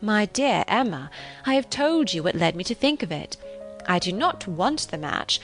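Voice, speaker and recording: A genteel married lady of a period novel, as a woman narrator plays her, calm yet gently persistent. She reasons in formal phrases with lively intonation, over soft music. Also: mid-range voice, normal speed. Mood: reasoning, patient.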